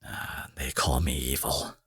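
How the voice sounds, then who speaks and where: Man acting a deep, husky, possessive supervillain. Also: flat delivery, unhurried, no echo, clean studio sound, full character voice. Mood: menacing, musing. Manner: theatrical, coaxing, dropping from forceful to breathy murmurs. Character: smooth protective male supervillain courting his captive rival. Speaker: audio roleplay performer. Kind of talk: dramatic intimate audio roleplay monologue.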